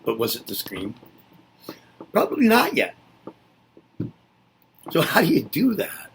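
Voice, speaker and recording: A man's voice: fairly high pitch, huge pitch swings, a little slow, gravelly, slight background noise, low-quality recording. A man giving a reflective spoken lesson, musing aloud and asking himself rhetorical questions before answering them. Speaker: presenter.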